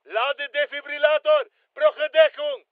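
High-pitched urgent special forces soldier, band-limited. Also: male voice, steady tone, unhurried, no room sound, phone-quality sound. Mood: urgent, loud. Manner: clipped, commanding short callouts. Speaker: video game voice actor. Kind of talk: military shooter callouts.

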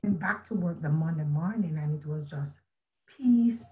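A woman with a somewhat low voice speaks earnestly and reflectively. She tells a personal story as a guest on a webcam call from a room at home. She often restarts her sentences and slips into quoted speech. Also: moderate pace, background noise. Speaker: author and guest. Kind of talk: storytelling in a video call interview. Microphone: video call webcam.

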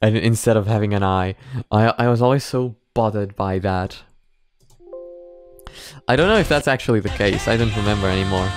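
A man's voice: lowish voice, normal speed, pauses often, no room sound, faint music behind. A man in his twenties hosting a reaction video with casual, amused commentary into a close studio microphone. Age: 20s. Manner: amused, breaks into laughter often. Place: small home room. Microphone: close studio mic on boom arm.

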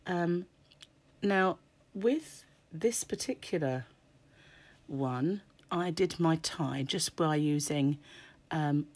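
A woman with a notably low, croaky voice talks through a crochet lesson at home. She rambles casually with plenty of ums and clears her throat now and then. Her voice sounds hoarse throughout. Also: extremely slow, long pauses, a little mellow, dry recording. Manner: chatty, many fillers, frequent throat clearing. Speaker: crochet tutor. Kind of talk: casual tutorial explanation. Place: home table, indoors. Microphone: camera over hands, speaker off-screen.

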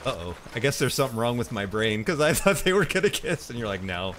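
A man's voice: medium pitch, big pitch swings, fast talker, fairly bright tone, slight background noise. A man playing a co-op game with a friend, making laid-back, wisecracking comments over voice chat. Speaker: co-op gaming partner. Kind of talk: casual gameplay chat.

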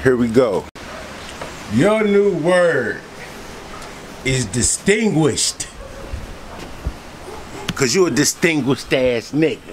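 A man's voice: medium pitch, very animated, slow pace, long pauses. A man hosting a casual spelling contest vlog with playful, slangy banter and some swearing. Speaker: host and quizmaster. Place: barbershop and outdoor back deck. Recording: echoey room, clear background noise.

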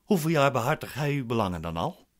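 A man's voice: mid-range voice, sing-song, fast, lightly acted. Radio play defendant, male actor: impatient, commanding, questioning, widely swinging intonation. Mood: inquisitive. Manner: curt, questioning. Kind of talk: radio drama acting.

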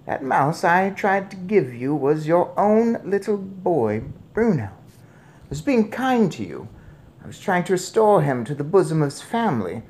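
A narrator voicing an old Norwegian grandmother who is calm, measured and mischievous, in a children's storybook. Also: mid-range voice, voice pushed up. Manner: measured, unruffled, matter-of-fact.

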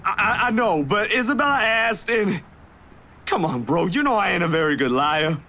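A man's voice: high-pitched, animated, moderate pace, sharp tone, heavily acted. An actor playing a sheepish, defensive young man who stammers and pleads in a visual novel game. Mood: sheepish, pleading.

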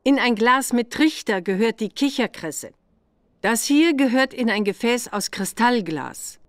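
A woman playing an older witch examiner who gives patient, deliberate instructions in a children's game. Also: fairly high pitch, lively intonation, dry recording. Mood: patient, instructive.

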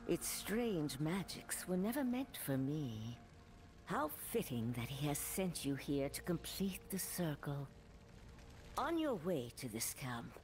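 A woman playing a wise, solemn high priestess in a video game, speaking in a stately, formal way. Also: a little mellow, heavily acted. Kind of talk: video game character acting.

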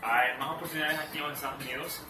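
Young man, mid-pitched voice, halting with fillers, far from the mic, roomy living room, music behind. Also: fairly even intonation. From El Salvador, raised in California. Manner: hesitant, many fillers like este and eh. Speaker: actor, interview guest. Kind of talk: casual interview. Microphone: far camera.

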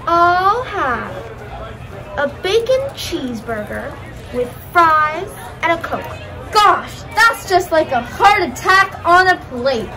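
Young woman doing a helpful pushy puppet waitress, real high voice, slow, amateur puppet show. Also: heavily acted. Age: young adult. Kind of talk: school puppet show skit acting.

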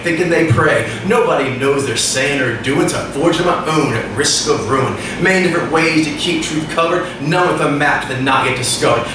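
A man in his thirties performing rhymed spoken-word poetry in a declamatory, rhythmic way, at a stand microphone in a small indoor venue. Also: a little high. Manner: declamatory, emphatic, rhythmic delivery. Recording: echoey live room, distant mic, clear noise.

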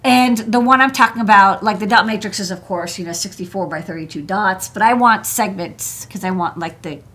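A woman's voice: medium pitch, fairly quick, fairly bright tone. A woman in her thirties, an electronics engineer hosting a desk show, walking through parts in a casual, chatty way with many fillers and restarts, seated at her workshop desk. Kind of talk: casual technical walkthrough.

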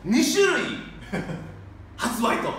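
Man, thirties, animated, café host, far from mic, echoey room. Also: extremely high pitch, drawn-out words, a little hiss or hum. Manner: animated, laughs often, thinks aloud. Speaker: coffee shop owner and host. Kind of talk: casual chat during a coffee tasting. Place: small bright café seating area, indoors. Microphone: camera from a few meters away.

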